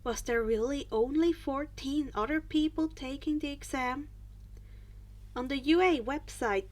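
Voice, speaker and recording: A woman reading a scripted story aloud as an audiobook-style narrator. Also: high-pitched. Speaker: fan fiction reader and narrator.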